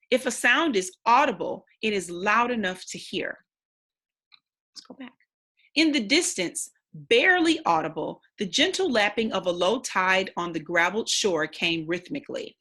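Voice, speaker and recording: A woman's voice: medium pitch, pushed and bright. A woman reading a storybook aloud expressively, in the instructive, simple manner of a teacher with young listeners.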